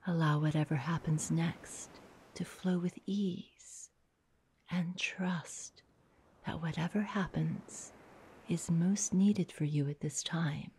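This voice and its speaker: A woman guides a meditation in a soft, breathy half-whisper, soothing and unhurried, leaving long pauses between short phrases. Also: low-pitched, fairly even intonation, slight background noise.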